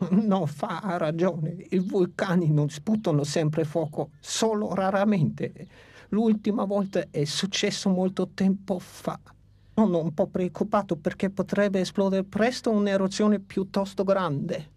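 A woman's voice: medium pitch, fairly even intonation, a little slow, dark tone, breathy edge, no echo. A woman voicing a fussy old male dinosaur teacher and inventor in a dubbed cartoon, patient and lecturing.

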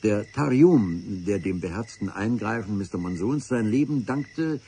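A man's voice: lowish voice, animated, a little slow, mellow, a little hiss or hum. A man playing a grave adventurer narrating his memoir in a radio play, in a literary, mock-solemn manner.

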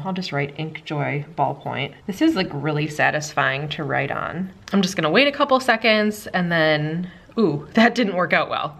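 A woman's voice: mid-range voice, fairly bright tone. Bubbly chatty woman vlogger, slightly stuffed up. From Minnesota, USA. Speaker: planner sticker vlogger. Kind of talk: casual chat. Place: indoors at a desk, overhead camera.

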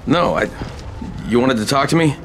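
A man's voice: mid-range voice, expressive, moderate pace, music in the background, natural voice. An actor playing a guarded male newcomer among survivors in a video game, hesitant and defensive. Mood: confused, guarded. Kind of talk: naturalistic video game acting. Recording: clear background ambience noise.

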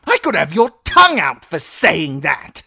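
A man voicing a spoiled, cruel teenage boy king who whines and shouts his demands. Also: wildly swooping pitch, measured pace, creaky, slightly rough, no echo, clean studio sound, full character voice. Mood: petulant. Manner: shrill, whiny, shouting demands.

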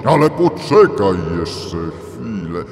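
Booming, echoing almighty God. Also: male voice, very animated, darkish tone, exaggerated voice. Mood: solemn. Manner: solemn, booming, deliberate. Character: thundering almighty God, solemn and benevolent. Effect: heavy reverb. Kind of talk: storybook audio drama acting. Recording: long cavernous reverb.

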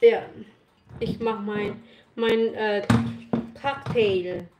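A woman's voice: mid-range voice, steady tone, extremely slow, highs cut off, very noisy. A woman of thirty-eight, Filipino by birth, chatting casually with livestream viewers in simple German, mixing in some English while she works in her home kitchen. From Philippines. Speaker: vlogger. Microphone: camera on the counter. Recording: echoey kitchen with running water. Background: water and kitchen clatter.

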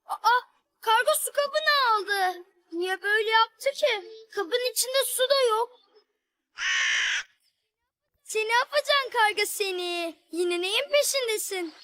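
A woman's voice: very high-pitched, slow, no echo, full character voice. A woman voicing a cheerful, curious little girl in a children's cartoon, talking expressively to her animal friends. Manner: expressive, talks aloud to her pets.

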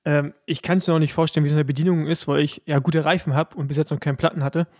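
Guest, male, gravelly, dry-sounding, halting answers. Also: fairly high pitch, level tone, darkish tone, no room sound. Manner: hesitant, many fillers. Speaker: guest, former pro cyclist. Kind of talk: casual interview chat.

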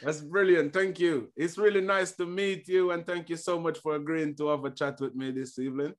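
A man's voice: a little high, fairly even intonation, a little fast. Chatty male podcast host on a video call. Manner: friendly, chatty, lots of "you know what I mean". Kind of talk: casual interview chat. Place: home room with a banner behind him.

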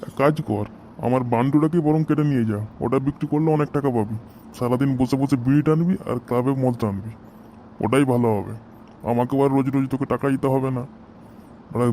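A man's voice: mid-range voice, mellow, slight background noise, natural voice. A man voicing a hot-tempered, foul-mouthed village father in an amateur comedy cartoon, grumbling and scolding in a West Bengal accent. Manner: grumbling, scolding, heavy cursing.

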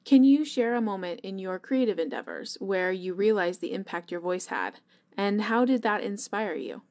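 A woman's voice: medium pitch, animated, normal speed, a little mellow. A woman interviewer reading scripted questions to a guest.

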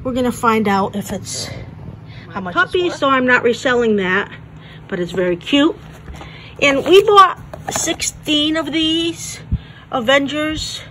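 A woman's voice: high voice, very animated, unhurried, a bit bright, low-quality recording. A woman chatting casually about her thrift-store finds while filming on a handheld phone. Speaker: thrift reseller vlogger. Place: thrift stores, partly a car. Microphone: handheld phone camera. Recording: phone audio with clear store background noise.

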